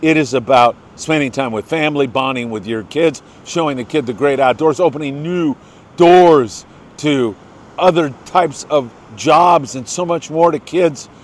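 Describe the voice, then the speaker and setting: An older American man talks through a fishing report in a slightly high-pitched voice. He is chatty and folksy, with plenty of enthusiasm. His delivery is casual, like a show host speaking straight to regular listeners. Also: unhurried. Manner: chatty, enthusiastic, folksy, congratulating people by name.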